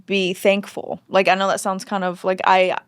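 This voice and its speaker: A woman's voice: mid-range voice, monotone, moderate pace, clear, resonant tone, no room sound, studio quality. A woman chatting casually and candidly as a podcast guest, often acting out remarks she quotes. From Southern United States. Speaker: podcast guest, former teacher.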